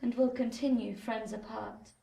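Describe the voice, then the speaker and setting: High-voiced sorrowful Victorian woman, echoey. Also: steady tone, normal speed, vocal fry, mellow. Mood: sorrowful, pleading. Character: gentle, sorrowful Victorian woman speaking to an old friend. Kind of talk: period radio drama acting. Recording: echoey room, environmental noise.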